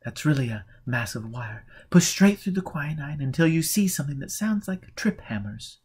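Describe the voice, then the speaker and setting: A man reading the part of a calm, forgiving woman in an audiobook, gently and patiently giving guidance. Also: fairly high pitch, moderate pace, husky. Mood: gentle, guiding.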